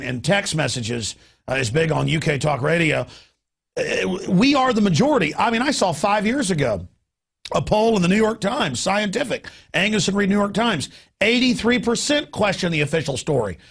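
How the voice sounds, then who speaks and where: A man hosting an opinionated political radio talk show, with a gravelly, raspy voice and an emphatic, ranting manner. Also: fairly high pitch. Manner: emphatic, ranting, piles up examples.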